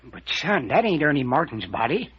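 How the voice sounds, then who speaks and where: A man's voice: on the high side, very animated, moderate pace, slightly rough, full character voice. An actor playing a mild, folksy old cemetery caretaker and father in a radio mystery, plain-spoken and rustic. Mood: concerned. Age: older. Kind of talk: old-time radio mystery serial acting. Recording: muffled, noisy old radio recording.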